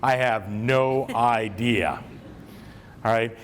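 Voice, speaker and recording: Man, superintendent, from Maine, middle pitch, relaxed joking speech to staff, faint rumble behind. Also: very slow. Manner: chatty, self-deprecating, joking with the audience. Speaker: school superintendent. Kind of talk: convocation speech to school staff. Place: large hall with a live audience.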